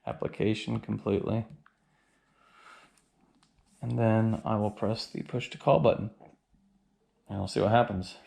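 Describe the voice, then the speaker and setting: Low-voiced man with noise behind him. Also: fairly even intonation, measured pace, pauses often, a little mellow. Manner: plainspoken, explanatory, step by step. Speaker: host of a product review and installation video. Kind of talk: casual hands-on how-to narration. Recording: clear background noise, ambient sound.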